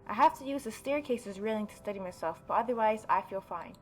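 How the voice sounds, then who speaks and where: A woman gaming YouTuber reading a story game aloud and adding expressive, mocking asides. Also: medium pitch, fast talker, a little hiss or hum. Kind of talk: let's-play reading aloud with reaction commentary.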